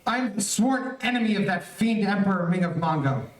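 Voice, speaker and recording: Brave earnest space hero played by a guy, high voice, echoey old radio serial, bit distant. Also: expressive, normal speed. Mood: earnest, determined. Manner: earnest, declarative. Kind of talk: old-time radio adventure acting. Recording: echoey, distant streamed stage audio.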